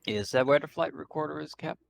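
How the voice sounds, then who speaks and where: A man's voice: on the high side, fairly quick, vocal fry, no room sound. A man playing a tabletop game, chatting casually over an online voice call.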